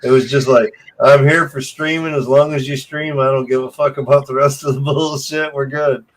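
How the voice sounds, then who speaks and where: An American man chatting late at night over a video call. His talk is loose and joking, full of swearing and quick laughs. The tone stays relaxed and offhand. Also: mid-range voice, a little hiss or hum, rough recording. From United States. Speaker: guest on a live video call. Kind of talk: casual late-night chat.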